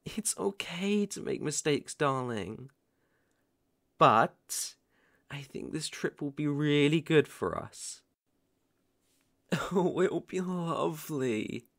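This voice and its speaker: A man playing a possessive, lovesick boyfriend in an ASMR roleplay, cooing with gentle condescension and quiet menace, often hushed and breathy. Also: fairly high pitch, animated, takes it slowly, long pauses, no echo, studio quality, full character voice. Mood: adoring, coaxing. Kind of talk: ASMR roleplay, dramatic monologue.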